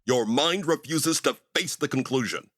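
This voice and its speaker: An actor playing an earnest space officer hero, urgent and solemn, in a retro radio drama parody. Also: male voice, mid-range voice, normal speed, fairly bright tone, no echo, clean studio sound, lightly acted. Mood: insistent.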